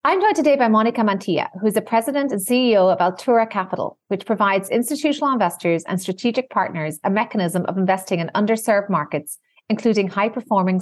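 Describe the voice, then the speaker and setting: A woman hosting a podcast, reading a composed introduction and asking interview questions clearly. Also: medium pitch, no room sound, studio quality. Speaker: podcast host.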